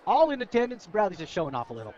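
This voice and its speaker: An American man talks rapidly in a somewhat high voice. He is the color commentator on a live football radio broadcast, chatting through analysis of the plays. The sound is a bit dull and lo-fi. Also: wildly swooping pitch, a little mellow.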